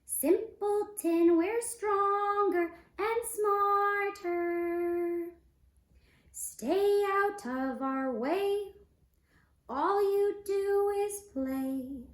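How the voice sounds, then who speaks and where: A woman's voice: very high voice, drawn-out words, voice pushed up, full character voice. A woman voicing two mocking, boastful older princes in a puppet-show fairy tale, in a singsong taunting chant. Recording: roomy, echoing sound.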